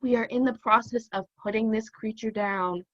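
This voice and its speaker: A woman's voice: a little high, fairly even intonation, moderate pace, no room sound, lightly acted. A young woman playing a formal, unflappable radio station announcer in a comedic radio play. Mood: apologetic, composed. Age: young adult. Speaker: student actor. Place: room at home. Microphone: laptop webcam. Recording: slightly dull, dry webcam sound.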